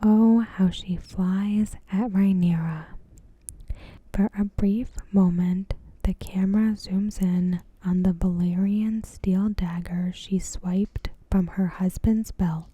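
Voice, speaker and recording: A woman doing ASMR commentary in a breathy, hushed whisper, measured and deliberate, close to the microphone. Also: mid-range voice, fairly even intonation, no echo. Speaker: ASMR creator reviewing a TV episode. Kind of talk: ASMR, soft scripted commentary. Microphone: close microphone in a dry space.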